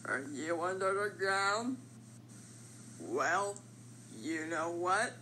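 A woman voicing a confident, playful living triangle from a cartoon, talking through things in a deliberate way. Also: on the low side, animated, sharp tone, slight background noise, exaggerated voice, rough recording. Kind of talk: animated character voice lines.

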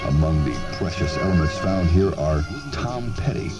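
A man's voice: low-pitched, lively intonation, moderate pace. A man playing a solemn, grandly formal starship captain in a radio commercial parody. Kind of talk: radio commercial, space-show parody narration. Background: loud music.

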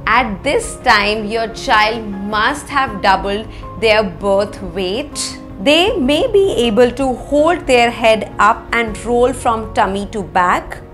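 A woman in her thirties with an Indian accent presents an explainer lesson to camera, phrasing things deliberately and with emphasis. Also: a little high, animated, a bit bright. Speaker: parenting educator, presenter. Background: background music.

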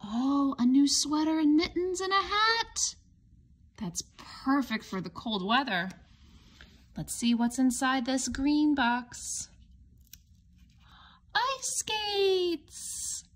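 A woman with a fairly high voice reads a children's picture book aloud at a very slow pace. She phrases things deliberately and expressively for young listeners, posing simple questions and explaining as she goes. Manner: expressive, questioning, with gasps and hushed asides. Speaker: storybook reader for young children.

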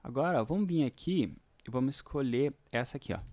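A man with a Brazilian accent giving a casual, hands-on music software lesson in an informal, slangy way. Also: mid-range voice, lively intonation, quick pace. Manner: informal, uses diminutives and slang. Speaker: music software tutorial host. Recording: electronic synth music behind voice. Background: synthesizer and vocoder demo sounds, clicks.

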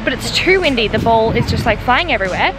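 A woman's voice: on the high side, expressive, fast talker, noisy background. A young woman in her twenties chatting casually to a handheld camera in her vlog, upbeat and rambling, with music playing under her voice. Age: 20s. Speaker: vlogger. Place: home rooms indoors and windy beach park outdoors. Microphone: handheld camera close to face.

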